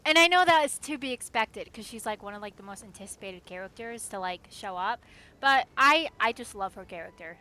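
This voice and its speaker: A woman commenting casually on a game live stream. Her voice is bright and fairly high, with slangy, informal phrasing. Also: expressive, brisk.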